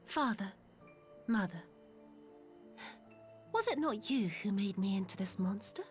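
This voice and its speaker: An actress playing a bitter, vengeful young villainess in a video game, with slow, breathy, theatrical menace. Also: female voice, mid-range voice, lively intonation, close to an everyday voice. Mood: vengeful, spiteful. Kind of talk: dramatic video game voice acting. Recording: game audio with music underneath.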